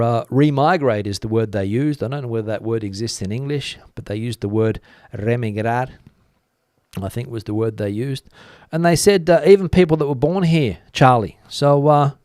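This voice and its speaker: A man's voice: on the high side, darkish tone, clear, resonant tone, no room sound, clean studio sound. A man hosting a live stream, talking through the news in a casual, conversational way and musing as he answers viewers. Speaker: host of a live commentary stream. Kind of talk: casual news commentary, reading out viewer comments.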